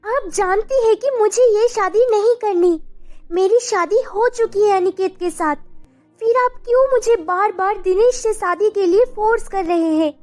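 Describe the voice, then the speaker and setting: Casting: actress as young working woman for animated melodrama, dutiful, firm yet gentle, very high mellow voice. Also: moderate pace. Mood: firm, pained. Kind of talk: Hindi animated melodrama dialogue. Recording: music bed behind the voice.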